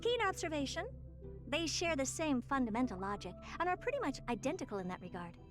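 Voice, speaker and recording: An actress playing a smug, imperious woman scientist in an anime-style video game, curt and sarcastic with a deadpan edge. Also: high-pitched, a little fast, music in the background. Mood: curt, bossy. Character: haughty, self-declared genius woman scientist, curt and sarcastic.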